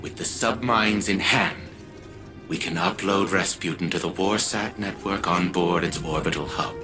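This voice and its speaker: An actor playing an arrogant old scientist turned artificial mind in a video game, formal and condescending. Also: male voice, sits low, moderate pace, lightly acted. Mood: grave, imperious. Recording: loud music under the voice.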